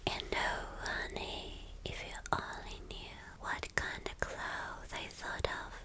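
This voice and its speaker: A woman voicing a vain, obsessive villain girlfriend in a breathy, lingering ASMR roleplay whisper. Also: lightly acted. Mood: doting, obsessive. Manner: slow, breathy, lingering, repeating phrases.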